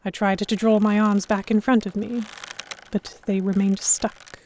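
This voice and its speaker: A woman playing a stubborn, flustered young noblewoman, narrating her own story in a measured, expressive audio drama style. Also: mid-range voice, slight background noise, lightly acted. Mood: tense, wistful narration.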